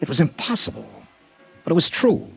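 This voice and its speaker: A wry hard-boiled investigator. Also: male voice, a little high, sing-song, a little fast, gravelly, a bit bright, slightly rough, soft background music, heavily acted. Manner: laconic, wry first-person narration. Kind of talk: 1950s radio drama narration.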